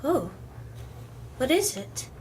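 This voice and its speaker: A woman playing a meek, nervous young woman who answers in hushed, submissive replies. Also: high-pitched, big pitch swings, slow pace, noisy background, heavily acted. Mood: timid.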